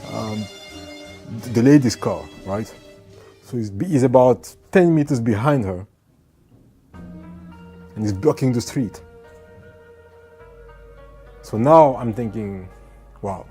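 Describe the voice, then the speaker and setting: A man of mid-range pitch tells a personal story straight to the camera, chatty and lively, and keeps checking in with the listener. Faint background music plays under him. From France. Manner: conversational, animated retelling with asides. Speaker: vlogger.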